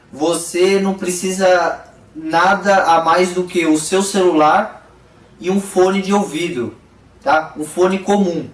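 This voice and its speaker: A Brazilian man with a slightly high voice explains things casually, like a vlogger talking to viewers. He is conversational and keeps adding little tag questions. The room is clearly echoey and he sounds a bit away from the microphone. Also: far from the mic. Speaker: motorcycle vlogger.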